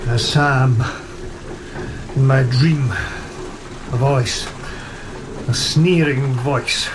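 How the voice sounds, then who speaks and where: An actor playing a weary, devout old sea captain in a gothic horror audio drama, grave and deliberate. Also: male voice, medium pitch, steady tone, background noise. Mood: brooding. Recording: storm rain and thunder over voice.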